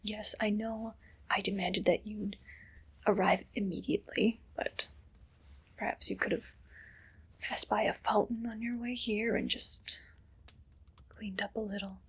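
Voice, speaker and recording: A woman playing a haughty, condescending evil queen in a whispered ASMR roleplay, slow and disdainful, with a breathy voice. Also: mid-range voice, steady tone. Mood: disgusted, condescending.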